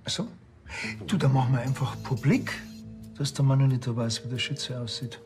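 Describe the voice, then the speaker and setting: A man's voice: mid-range voice, steady tone, moderate pace, music in the background, close to an everyday voice. An actor playing a wry, sardonic village policeman with a Bavarian accent, speaking dryly in a TV crime comedy. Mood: dry, scheming. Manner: dry, laconic, sarcastic.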